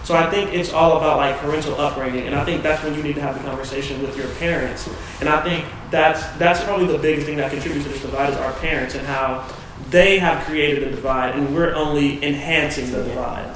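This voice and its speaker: Chill young guy on a panel, says like a lot, a bit high, far off in a super echoey room. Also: fairly even intonation, fast. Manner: laid-back, fills speech with "like". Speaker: student panelist. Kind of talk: casual panel discussion. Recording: very echoey, off-mic room, faint noise.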